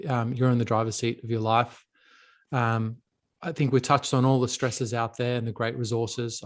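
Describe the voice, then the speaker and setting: Man, thirties, headphones video call, monotone, steady, panelist. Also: medium pitch. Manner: steady, pointing to resources. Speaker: mental health service panelist. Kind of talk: online panel discussion. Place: home room with bookshelves.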